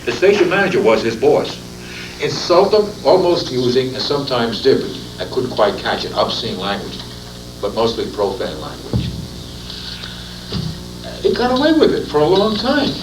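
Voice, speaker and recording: A man's voice: mid-range voice, huge pitch swings, moderate pace. A man talking casually, telling an anecdote in conversation. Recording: distant, roomy, noisy tape with clicks.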